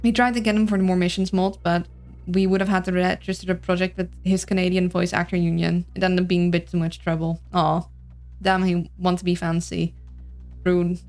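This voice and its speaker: Low-voiced Dutch woman streaming a game. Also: flat delivery, fairly quick, a little mellow. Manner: rambling, thinking aloud. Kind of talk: casual gameplay commentary. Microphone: streaming mic with voice filters. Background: game music.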